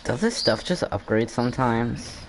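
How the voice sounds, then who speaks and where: A man's voice: medium pitch, very animated, normal speed, a little hiss or hum. A man giving casual gameplay commentary, rambling and thinking aloud as he plays. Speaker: gamer commentator.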